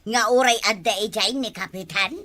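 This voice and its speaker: A woman's voice: mid-range voice, normal speed, bright, forceful tone, lightly acted. A woman with an Ilocano accent playing a village woman in a comedy drama.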